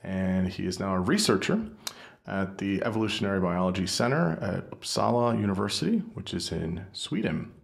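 A man's voice: low-pitched, expressive, normal speed, creaky. A man hosting a podcast, introducing his guest and interviewing him enthusiastically with many fillers and restarts. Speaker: podcast host.

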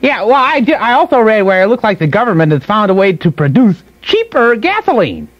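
A man's voice: sits high, big pitch swings, fairly quick, no room sound. A man doing joking banter as a morning radio sidekick. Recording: dull lo-fi 1970s radio aircheck.

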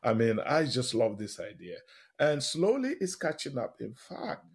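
A man with a husky voice talks as an expert guest on a radio interview. His pitch swings widely as he explains his points with emphasis and open enthusiasm. He often punctuates them with small rhetorical questions. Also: a little slow. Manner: animated, emphatic, with short rhetorical tag questions.

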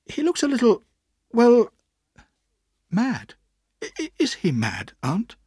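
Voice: medium pitch, huge pitch swings, slow, dark tone, husky, no echo, studio quality, pitched above the performer's own voice, exaggerated voice. A narrator voicing a timid young boy in a storybook reading, hesitant and earnest in manner. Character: timid, anxious, pleading orphaned young boy.

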